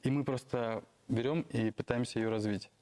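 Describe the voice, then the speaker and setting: A man at a middle pitch, neither high nor low, talks calmly and at length about his band in a studio interview. He explains things in detail and often laughs. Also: moderate pace. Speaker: band leader.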